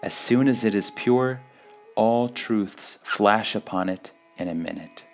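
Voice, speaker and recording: Male reader, deep voice, unhurried and deliberate delivery of spiritual quotations, music underneath. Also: gravelly. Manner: measured, deliberate, with pauses between phrases. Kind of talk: slow devotional quote reading.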